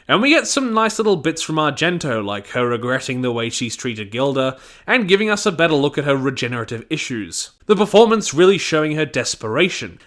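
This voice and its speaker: A man's voice: fairly high pitch, a bit bright. A man giving a scripted review and plot commentary. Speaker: audio drama reviewer.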